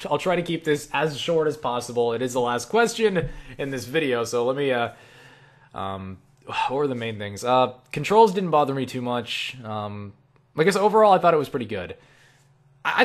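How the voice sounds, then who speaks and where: A young man chatting casually and thinking aloud as he answers viewer questions, rambling and opinionated, with frequent swearing. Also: fairly high pitch. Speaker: online video creator answering viewer questions. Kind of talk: casual rambling Q&A chat about video games.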